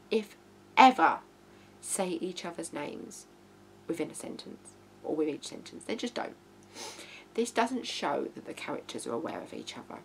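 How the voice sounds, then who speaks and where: A woman's voice: lowish voice, vocal fry, low-quality recording. A woman in her thirties giving blunt, emphatic writing advice in an informal video from a living room. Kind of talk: informal video tutorial, talking to camera. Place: small living room indoors. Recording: hum and background noise.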